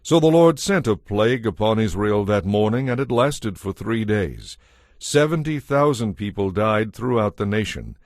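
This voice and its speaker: A man's voice: lowish voice, animated, moderate pace, darkish tone, no room sound, studio quality. A man giving a scripted, dramatized narration of scripture as the narrator.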